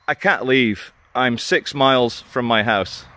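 A man in his thirties filming himself outdoors on a driveway with a handheld camera, talking loudly, pleading and indignant. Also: mid-range voice, takes it slowly, no echo. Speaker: YouTuber filming a confrontation. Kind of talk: confrontational self-filmed vlog. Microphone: handheld camera mic.

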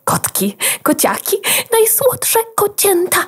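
A woman's voice: extremely high pitch, big pitch swings, slow, full character voice. A woman voicing a sweet, cutesy, sly little byte of data in a children's audio drama, playful and coaxing.

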